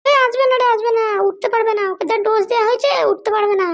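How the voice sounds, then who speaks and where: A scheming madam from a comedy cartoon, voiced by an actor, squeaky and extremely high like a child's voice. The talk is quick, bossy and threatening, full of ruthless self-justifying ambition. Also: steady tone. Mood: conspiring, confident. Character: scheming, power-hungry madam, ruthless mother seeking political power.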